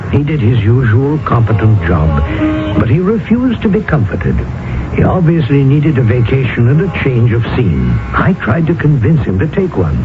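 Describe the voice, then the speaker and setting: Reflective friend narrating, dramatic, hugely varied intonation, radio drama, tinny sound, loud music. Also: male voice, medium pitch, wildly swooping pitch. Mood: sombre, reflective. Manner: dramatic, measured storytelling. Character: concerned, reflective colonial-era friend narrating his tale.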